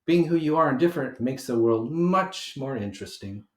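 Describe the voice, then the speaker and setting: A man's voice: medium pitch, lively intonation, normal speed, pitched above the performer's own voice, natural voice. Man voicing a kind, confident little schoolgirl, roomy sound. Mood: friendly, reassuring. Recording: roomy homemade recording.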